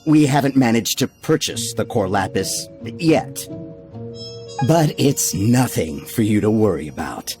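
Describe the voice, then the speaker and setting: An actor playing an oily, scheming middle-aged merchant in a video game, obsequious and placating. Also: male voice, lowish voice, expressive, a little slow, over music. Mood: nervous, placating. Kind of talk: video game character dialogue.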